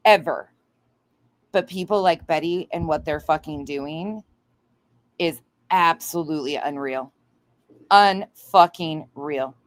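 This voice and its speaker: A woman's voice: deep voice, slow, pauses often. A woman hosting a livestream, reacting and chatting to viewers in a blunt, indignant, sweary way. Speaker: livestream host.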